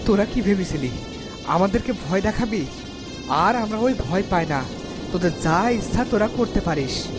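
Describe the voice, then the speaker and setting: A woman's voice: medium pitch, expressive, moderate pace, long pauses, over music, close to an everyday voice. A woman voicing a steadfast, protective village woodcutter father in a fairy-tale cartoon, speaking firmly. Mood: defiant.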